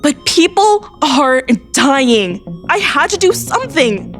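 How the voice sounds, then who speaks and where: A woman playing a headstrong teenage girl with secret powers in an audio drama, earnest and insistent. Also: a little high. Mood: defiant.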